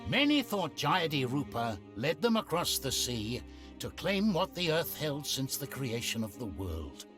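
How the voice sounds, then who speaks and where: An actor as a grave storyteller, giving solemn, dramatic scripted narration for a fantasy video game. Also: male voice, mid-range voice, sing-song, unhurried. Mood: solemn, ominous. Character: grave male storyteller of a war-torn fantasy realm. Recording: loud music behind narration.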